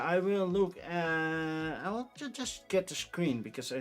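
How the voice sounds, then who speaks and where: A man with a Dutch accent, a native Dutch speaker, chatting casually and thinking aloud as a livestream host. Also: on the high side. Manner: rambling, informal, thinking aloud. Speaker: livestream host reviewing board game crowdfunding.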